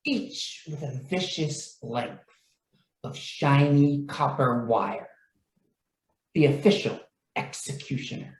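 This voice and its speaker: A woman acting as a grave storyteller, delivering dramatic radio narration with deliberate suspense. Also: lowish voice, a little mellow. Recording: roomy echo.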